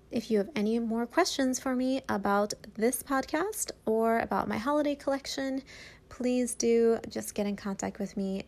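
Chatty enthusiastic woman podcast host. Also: mid-range voice. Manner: enthusiastic, filler-heavy, rambling. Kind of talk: casual podcast intro and interview.

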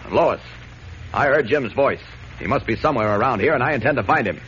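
A muffled old radio recording with light hiss carries a man acting a commanding male hero of a 1940s serial. His voice is medium in pitch, and he talks briskly and firmly, steady and reassuring. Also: close to an everyday voice. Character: steady, commanding male superhero posing as a reporter.

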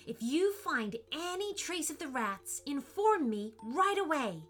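A woman's voice: sits high, sing-song, unhurried, fairly bright tone, soft background music, voice pushed up, exaggerated voice. A woman voicing an eager, polite young boy who earnestly calls others sir in a children's story.

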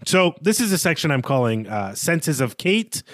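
A man's voice: fairly high pitch, big pitch swings, normal speed, fairly bright tone, dry recording, clean studio sound. A man co-hosting a literary discussion podcast, talking in an analytical, explanatory way. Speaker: podcast co-host.

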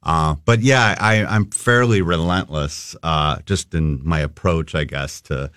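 A middle-aged man with a low baritone voice, talking casually and rambling with fillers as a musician guest in an interview. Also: measured pace, clean tone, no echo, studio quality. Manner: relaxed, rambling, with many fillers.

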